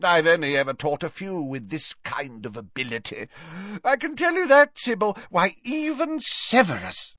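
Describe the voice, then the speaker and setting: A man voicing a jovial, tipsy older male professor in an audiobook, booming and effusive. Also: big pitch swings, moderate pace, bright, forceful tone, no echo, dull audio, full character voice.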